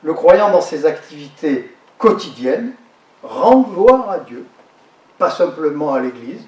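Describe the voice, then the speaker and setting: A man giving a lecture to an audience, pausing and repeating words as he explains. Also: fairly high pitch, big pitch swings, darkish tone. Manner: halting, repeats words and restarts while explaining. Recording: echoey hall, speaker far from the mic.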